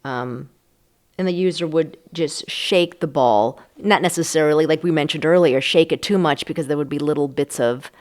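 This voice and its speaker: A woman's voice: low voice, normal speed, darkish tone. A middle-aged or older American woman co-hosting a nostalgic chat show in an informal, conversational way. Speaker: podcast co-host.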